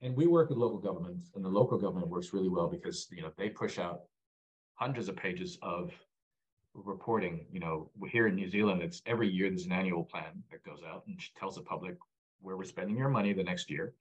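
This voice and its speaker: Earnest low-voiced man with a husky tone. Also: brisk, dull audio. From Egyptian background, raised abroad. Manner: earnest, repeats points, many restarts. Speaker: guest, founder of a data platform. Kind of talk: conversational podcast interview.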